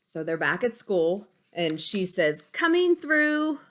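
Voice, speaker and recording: A woman with a fairly low voice reads to young children as their teacher. She takes it very slowly, keeps her phrasing simple and often checks in with questions. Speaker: schoolteacher reading aloud to young pupils. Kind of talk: picture-book read-aloud with questions to children.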